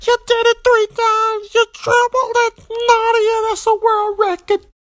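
A man's voice: very high voice, monotone, unhurried, a bit bright, no room sound, clean studio sound. A man doing a comic voiceover as a teasing onlooker, exclaiming with mock sympathy. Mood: mock concern.

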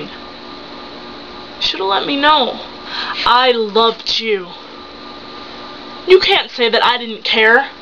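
A seventeen-year-old young woman acting a betrayed, hurt and angry wife and mother in an improvised dramatic monologue, accusing with halting, emphatic phrases. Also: high-pitched, pushed and bright. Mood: hurt, pleading. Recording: muffled home recording with hum.

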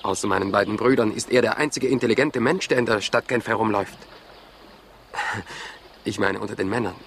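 A naive young student, lovestruck and earnest. His voice is fairly low. It is a 1960s radio play with faint noise behind. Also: fairly even intonation, brisk, a bit bright. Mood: earnest, troubled. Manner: ardent, earnest. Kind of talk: 1960s German radio crime play acting.

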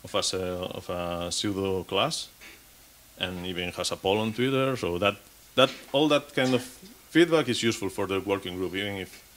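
A man's voice: sits low, animated, normal speed, a bit bright, slight background noise. A man in his thirties giving a technical conference talk on stage at a podium mic, with frequent restarts and repeated words. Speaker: conference speaker.

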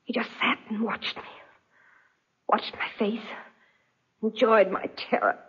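An actress playing an anguished, terrified wife haunted by guilt in a melodramatic 1940s radio drama. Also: female voice, a little high, fairly even intonation, measured pace, a bit bright, breathy edge, in a whisper, full character voice. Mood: haunted, despairing. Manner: melodramatic, pleading, breathless delivery. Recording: muffled, dull 1940s radio recording.

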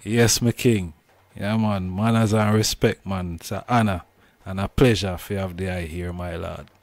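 A man's voice: sits low, steady tone, moderate pace, no echo. Male interview host, Jamaican accent, respectful Patois delivery, casual Rastafarian talk, dry recording.

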